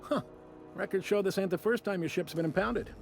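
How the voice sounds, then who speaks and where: A man's voice: sits high, brisk, creaky, faint music behind. A man playing a wry, folksy space-station customs officer in a video game, with a droll, casually apologetic drawl. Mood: wry, confiding. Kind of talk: video game character acting.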